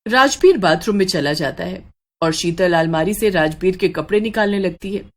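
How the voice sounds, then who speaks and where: A woman reading scripted horror narration as a dramatic, measured storyteller. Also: fairly low pitch.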